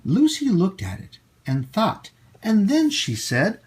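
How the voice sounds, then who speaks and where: A man hosting storytime for children, reading and talking to them in an expressive, emphatic way. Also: high voice, slightly rough. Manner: animated, slow, emphatic delivery for young children. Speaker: storytime host and children's teacher.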